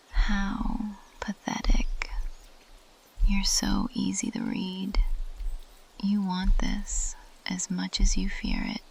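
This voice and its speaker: A woman playing an ancient, possessive female plant monster in an ASMR roleplay, in a breathy, intimate, teasing whisper. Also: medium pitch, full character voice, rough recording. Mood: seductive, commanding. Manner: intimate, teasing, slow and deliberate.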